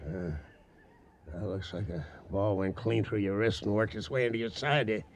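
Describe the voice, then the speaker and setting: An actor playing a rough, loyal cowhand with an in a radio drama. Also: male voice, on the low side, moderate pace, slight background noise, close to an everyday voice. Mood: concerned, reluctant. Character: rough, loyal cowhand scout, plain-spoken and wary. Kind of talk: western radio drama acting.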